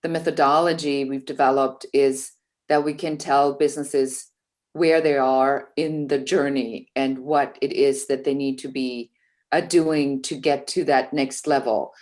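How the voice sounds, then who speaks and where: A woman's voice: a bass voice, steady tone, unhurried, darkish tone. A woman who is a business coach, talking as an interview guest in casual chat, fluent and confident with frequent "you know" fillers.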